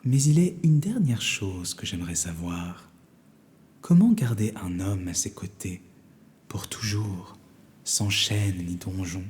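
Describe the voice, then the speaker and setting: A man voicing a curious, courtly young enchantress in a storybook, softly and courteously coaxing. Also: low-pitched, expressive, takes it slowly, a little hiss or hum. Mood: sweetly coaxing. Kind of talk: storybook character voice.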